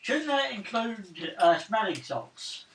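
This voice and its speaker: A man at a game table teases and questions the others in a high, bright voice. He is recorded from a distance. The room around him sounds roomy. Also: sing-song, slow pace, a little hiss or hum. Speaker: player. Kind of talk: casual banter at a game table.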